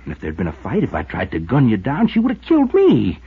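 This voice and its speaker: An actor playing a desperate, unhinged lovestruck killer who pleads and rants in a 1950s radio drama. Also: male voice, medium pitch, huge pitch swings, fast, husky, full character voice. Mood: bitter, frantic. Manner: pleading, agitated, ranting. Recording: muffled tinny 1950s radio recording.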